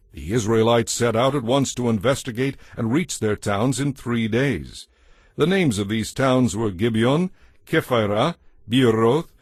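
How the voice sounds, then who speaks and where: A man narrating scripture from a script in a measured, solemn, dramatic way. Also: medium pitch, no echo, clean studio sound. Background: music.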